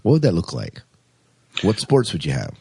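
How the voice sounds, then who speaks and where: A male podcast host with a low, slightly rough voice, recorded close and dry. He chats casually and keeps things playful and jokey. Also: wildly swooping pitch, normal speed, mellow, no room sound.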